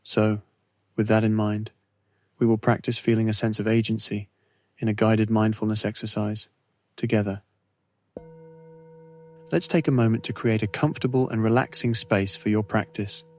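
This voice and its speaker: A man with a fairly low voice leads a guided meditation. His intonation stays very flat and steady, and he sets out each phrase deliberately, with soothing pauses. The recording is dry and close, free of room sound. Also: no room sound. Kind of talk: guided meditation, scripted narration.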